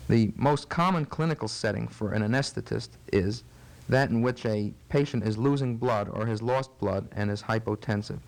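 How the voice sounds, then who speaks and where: A man's voice: medium pitch, poor audio. Man giving formal, precise medical explanations. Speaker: physician interviewed as expert. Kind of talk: measured medical teaching interview.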